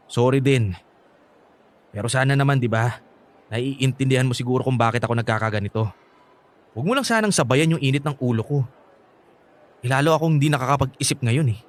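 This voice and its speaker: An actor with a Filipino accent playing an angry, wounded young son in a radio drama. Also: male voice, mid-range voice, a little fast, pauses often, close to an everyday voice. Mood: irritated.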